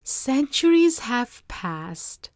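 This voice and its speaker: A woman narrating a storybook in a measured, dramatic read-aloud style. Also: fairly high pitch, sing-song. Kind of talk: expressive scripted storybook narration.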